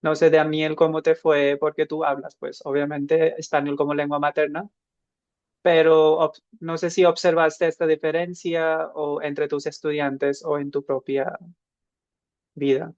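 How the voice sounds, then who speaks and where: A man's voice: on the high side, level tone, measured pace, darkish tone, no room sound, lo-fi sound. Man, hesitant podcast co-host. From India. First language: an Indian language. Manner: thoughtful, many fillers and restarts. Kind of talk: casual podcast chat.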